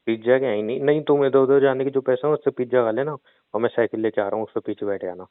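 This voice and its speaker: A man's voice: mid-range voice, quick pace, no room sound. Young guy teasing his gf on a call, tinny phone sound, dry. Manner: teasing, playful. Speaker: boyfriend in comedy phone call. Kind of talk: scripted comedic phone banter.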